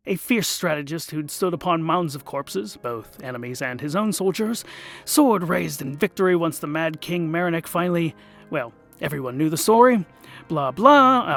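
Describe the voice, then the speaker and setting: A man with a slightly high voice narrates a comic fantasy tale. His delivery is theatrical and emphatic, with a wry, mock-epic tone. Music plays softly behind him. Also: gravelly. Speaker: narrator and performer of an audio drama.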